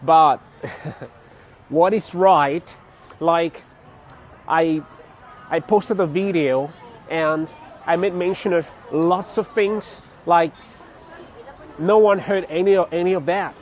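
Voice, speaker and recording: Slow-talking chatty man from Cameroon. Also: a little high. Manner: chatty, laughs often, halting with fillers. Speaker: vlogger. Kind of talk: casual walking vlog. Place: outdoors on a city street. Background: faint street traffic.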